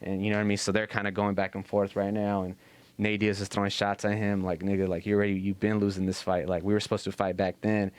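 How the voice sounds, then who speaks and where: A man's voice: on the low side, little pitch movement, quick pace, clear, resonant tone, no echo. A man in casual sports chat on a podcast, slangy and profane, retelling exchanges in reenacted quotes. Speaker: podcast host.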